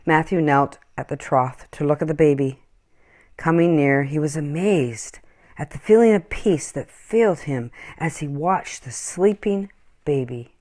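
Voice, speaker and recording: A woman reading a children's story aloud in an expressive, acted-out storytelling style. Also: low voice, unhurried. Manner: animated, acts out dialogue and animal sounds. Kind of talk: expressive scripted storybook narration.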